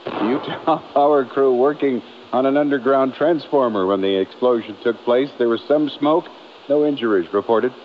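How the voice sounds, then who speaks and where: A male American-accented news anchor reads in a mid-pitched voice. The radio recording is dulled and carries a light layer of noise. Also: normal speed. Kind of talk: news reading. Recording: muffled radio aircheck with noise.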